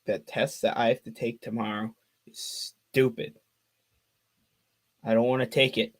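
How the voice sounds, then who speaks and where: A man of twenty giving fan play-by-play on a live stream, calling the action quickly and chatting with viewers between plays. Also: medium pitch, level tone. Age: 20s. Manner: rapid call of the action mixed with chatty asides to viewers.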